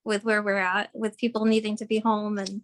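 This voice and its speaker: A woman in her late twenties, a fan talking casually and haltingly, with many fillers and giggles. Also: mid-range voice, little pitch movement, a crowd in the background. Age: 20s. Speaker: fan guest. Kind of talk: casual chat.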